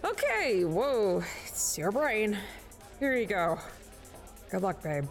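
A breezy woman running bets at an underground fight club. She speaks slowly with big swings of pitch, in a familiar, slangy audio drama style. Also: sing-song, soft background music.

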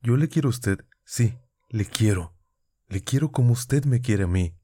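A man's voice: medium pitch, expressive, a little slow, dark tone, husky, dry recording, clean studio sound, voice pushed up. A man voicing an impassioned, tearful young woman in love, speaking urgently and pleadingly. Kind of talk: audiobook character voice.

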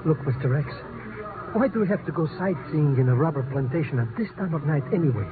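High-pitched cowardly sidekick played by a man. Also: lively intonation, fast, natural voice. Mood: jittery. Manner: nervous, whining, fractured grammar. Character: cowardly, greedy, comic foreign male sidekick. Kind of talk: 1950s radio comedy-drama acting. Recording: noisy, muffled 1950s radio recording.

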